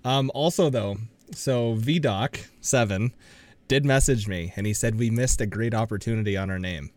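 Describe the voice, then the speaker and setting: A man's voice: fairly low pitch, moderate pace, darkish tone, no echo. A young man co-hosting a podcast, chatting casually and throwing in dry joking asides. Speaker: podcast co-host.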